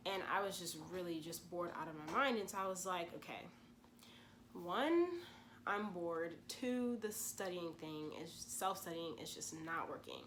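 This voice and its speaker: Casting note: twenties woman, stuffy from a cold, lowish voice, casual vlog chatter, faint hiss behind. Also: moderate pace, a bit bright. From Louisiana, USA. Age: 20s. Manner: chatty, frequent "you know" asides. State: congested from a cold. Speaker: vlogger and pre-med gap-year student. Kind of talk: casual explanatory vlog talk.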